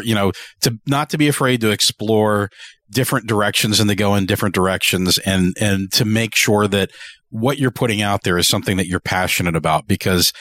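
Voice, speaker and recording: A man with a fairly low voice hosts a podcast in a reflective, conversational style. He circles back over his phrases as he talks. The sound is very dry, with no room around it. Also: a bit bright, dry recording. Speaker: podcast host interviewer. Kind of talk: conversational interview and host talk.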